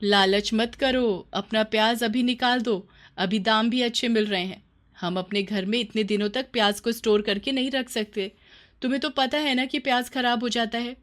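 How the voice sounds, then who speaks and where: A woman's voice: a little high, steady tone, normal speed, a bit bright, natural voice. Woman voicing worried, scolding village wife. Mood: urging. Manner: pleading, scolding, exasperated. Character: worried, sensible, nagging village farmer's wife. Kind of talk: Hindi cartoon moral story voice acting.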